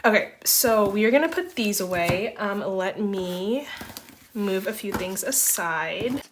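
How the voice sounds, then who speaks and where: Young adult woman vlogger, slightly congested, relaxed rambling chat over faint noise. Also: mid-range voice, takes it slowly. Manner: chatty, rambling, often says um and like. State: slightly congested, recovering from laryngitis. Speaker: photocard collecting vlogger. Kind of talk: casual chat while sorting.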